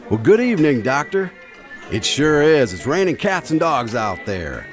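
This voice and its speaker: A man's voice: a little high, sing-song, moderate pace, soft background music. A man acting a chatty, blunt, folksy fellow who tells his own adventure in a radio drama. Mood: friendly. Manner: folksy, tactless.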